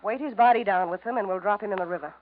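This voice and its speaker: An actress playing a cold, domineering, scheming wife in a 1940s radio noir, commanding and insistent. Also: female voice, mid-range voice, racing, lightly acted. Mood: cold, businesslike. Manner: commanding, insistent, coolly calculating. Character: cold, domineering, scheming wife pushing her husband to murder. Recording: muffled old radio broadcast.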